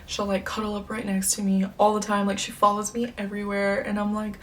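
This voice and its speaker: A woman's voice: medium pitch, completely flat delivery, fairly quick, a bit bright. A woman in her twenties, born in the United States, chatting upbeat to camera as a beauty vlogger, with lots of fillers. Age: 20s. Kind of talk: casual chat to camera. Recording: slightly distant mic, faint room noise.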